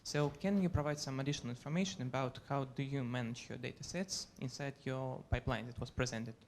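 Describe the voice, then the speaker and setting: A man's voice: medium pitch, fast talker, a little hiss or hum. A man from the audience thanking the speaker and politely asking a formal question.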